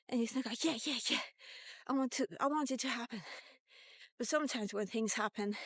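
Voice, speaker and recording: A woman's voice: a little high, fairly bright tone, no echo. A woman in her fifties telling a personal tale in a casual, rambling, reflective vlog style. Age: 50s. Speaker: vlogger.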